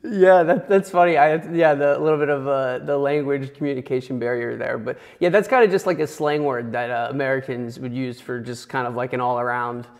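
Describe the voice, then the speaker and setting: A man hosting an interview in a casual, upbeat way, using lots of fillers and trailing off quietly. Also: mid-range voice, fairly quick, few pauses, in a large hall. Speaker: show host.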